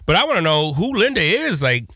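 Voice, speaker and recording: A man hosting a podcast, hoarse as he recovers from a lost voice, speaking casually in a rambling, hesitant way with lots of fillers. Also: high-pitched, sing-song, brisk, bright, forceful tone, dry recording, studio quality. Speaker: podcast host.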